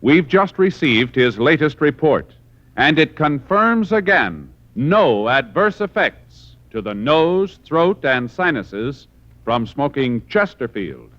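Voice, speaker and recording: A man's voice: a little high, sing-song, slow, fairly bright tone. A man with an American accent reading scripted 1950s radio announcements in a formal, authoritative way. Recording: muffled vintage radio broadcast.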